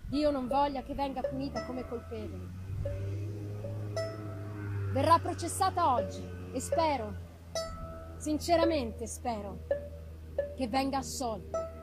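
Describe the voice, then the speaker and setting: A woman's voice: high voice, expressive, measured pace, long pauses, poor audio. A woman playing a sorrowful, dignified father in a gothic novel reading, subdued and grave, with music behind. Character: sorrowful, dignified old father of grieving family.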